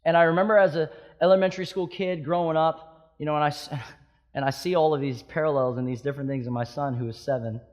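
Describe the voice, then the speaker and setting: A pastor in his thirties from Hawaii, preaching a sermon with impassioned swings between loud emphatic peaks and soft hushed stretches. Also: a little high, steady tone, quick pace. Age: 30s.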